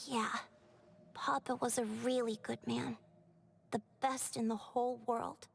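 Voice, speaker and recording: Innocent, high-pitched little girl. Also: fairly even intonation, a little slow, creaky, breathy edge, exaggerated voice. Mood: fond. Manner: childlike, plaintive, simple. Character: innocent little girl devoted to her papa. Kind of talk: video game dialogue. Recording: faint noise from game livestream.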